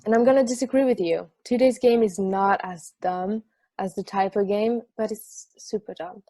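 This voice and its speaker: A woman's voice: mid-range voice, moderate pace, warm tone, no room sound, close to an everyday voice. A woman playing a jaded, sardonic sports podcast host in a casual table read. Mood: wry, teasing.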